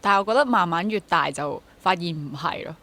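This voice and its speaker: A woman's voice: on the low side, fairly quick, fairly bright tone. A woman in her twenties with a Hong Kong Cantonese accent, talking candidly and self-deprecatingly as a guest in a casual interview. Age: 20s. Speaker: guest, stage actor.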